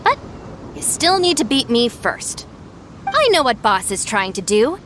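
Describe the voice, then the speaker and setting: A woman's voice: on the high side, wildly swooping pitch, a little slow, a bit bright, slight background noise, exaggerated voice. A woman playing a sensible, stern young deputy in a video game, dryly exasperated and matter-of-fact. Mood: exasperated, confident. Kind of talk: animated video game voice acting.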